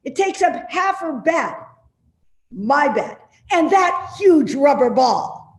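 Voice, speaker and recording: A woman's voice: high voice, big pitch swings, vocal fry, full character voice. A woman in a play reading, playing a suspicious, complaining elderly mother who nags indignantly. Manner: nagging, indignant, slow and insistent. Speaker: actor in a readers theater play. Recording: roomy, echoey remote reading.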